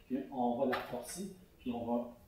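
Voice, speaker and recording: A man's voice: mid-range voice, normal speed, noisy background. Québécois man speaking in an echoey hall. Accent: Québécois French. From Canada (Quebec). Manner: conversational, explanatory with many fillers. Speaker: conference speaker. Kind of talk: conference talk answering questions. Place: large conference hall. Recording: echoey hall recorded from far off.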